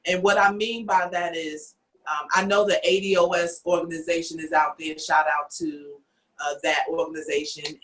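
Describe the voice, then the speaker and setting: A woman's voice: low voice, a bit bright, poor audio. A woman from the United States hosting a podcast, giving her opinions in an earnest, emphatic way. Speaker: podcast host. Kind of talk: casual podcast discussion.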